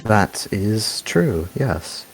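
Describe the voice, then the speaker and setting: A man's voice: on the low side, sing-song, very slow, slightly rough. A man playing a tabletop game, speaking hesitantly and politely in character. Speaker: player. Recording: faint background music.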